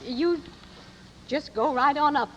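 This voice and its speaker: A woman's voice: high-pitched, takes it slowly. An actress playing a fawning landlady who stammers and breaks off her sentences, in a 1940s radio drama. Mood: flustered. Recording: dull lo-fi old radio broadcast, faint hiss.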